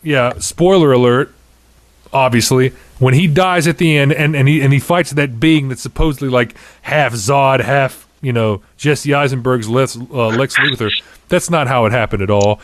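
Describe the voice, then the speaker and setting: A man's voice: mid-range voice, moderate pace, a little hiss or hum. A man in his thirties hosting a casual podcast, chatting and ranting in a profane, opinionated way. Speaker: podcast host. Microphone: studio condenser microphone on table.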